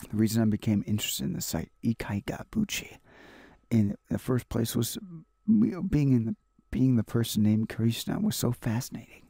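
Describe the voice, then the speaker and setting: A man with a mellow, creaky voice reads a visual novel aloud as a gaming host. His delivery is halting, with stumbles and repeated phrases. The sound is close and dry. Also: mid-range voice, lively intonation, dry recording. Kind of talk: reading a visual novel aloud for a let's play.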